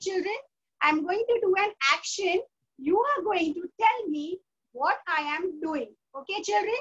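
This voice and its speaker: A woman's voice: very high voice, fairly bright tone, muffled recording. A woman teaching an online class for children, patient and repetitive, checking with "okay?" often. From India. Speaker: school teacher.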